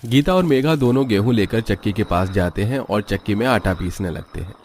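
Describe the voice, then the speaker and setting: Guy narrating a cartoon story from a script, lowish voice. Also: animated, fairly quick, a little mellow, a little hiss or hum.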